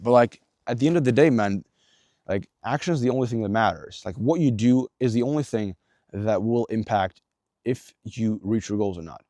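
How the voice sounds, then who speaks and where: A young man talks through a casual self-help monologue in a lowish, mellow voice, blunt and profane, with lots of fillers and restarts. The sound is dry and close, with no room ring. He coaches the listener in an earnest tone. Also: normal speed, no echo. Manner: blunt, heavy swearing, many fillers and restarts; earnest, coaching tone aimed at viewer. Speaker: self-improvement vlogger.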